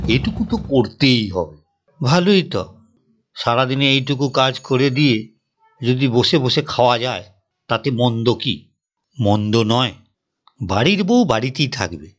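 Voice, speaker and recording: A man's voice: mid-range voice, a bit bright. A man voicing a scornful, sarcastic village gossip in a cartoon. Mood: disgusted. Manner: sarcastic, sneering. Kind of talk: Bengali cartoon voice acting.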